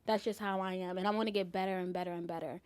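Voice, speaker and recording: A woman's voice: on the low side, fairly even intonation, very fast, background noise. A young woman from the Bronx, chatting easily as a singer being interviewed. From New York, the Bronx. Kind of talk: casual interview chat.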